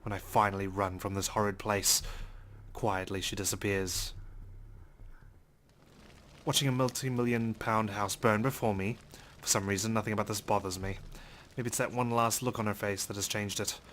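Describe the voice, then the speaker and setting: A man's voice: lowish voice, steady tone, normal speed, a bit bright, a little hiss or hum. A man reading visual novel text aloud as dramatic first-person narration. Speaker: let's play host reading game text aloud.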